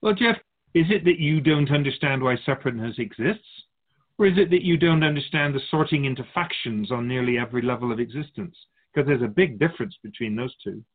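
A man playing a calm, wise otherworldly spirit guide in a radio drama, measured, philosophical and gently teasing. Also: mid-range voice, lightly acted. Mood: gently reassuring. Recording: muffled phone-like narrow band.

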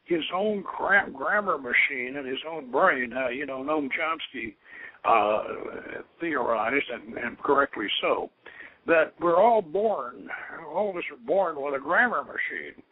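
A man hosting a radio show, explaining things informally in a halting, rambling, scholarly storyteller's way over a tinny, narrow phone-like line. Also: a little high, lively intonation, husky. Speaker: host of an occult radio show.

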